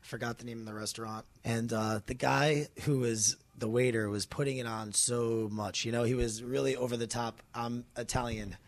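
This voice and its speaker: A young man from Florida hosting a podcast, chatting and telling stories in a casual, animated way. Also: medium pitch, moderate pace, few pauses, no room sound. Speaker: podcast host. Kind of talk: casual interview chat.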